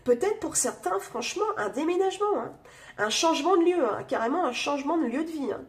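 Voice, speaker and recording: A woman's voice: high voice, lively intonation, brisk. A woman with a cold reading tarot cards in casual chat, speaking through her nose with many fillers and talking straight to her viewers. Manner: chatty, many fillers, addresses viewers directly. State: has a cold, nasal voice. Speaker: tarot reader.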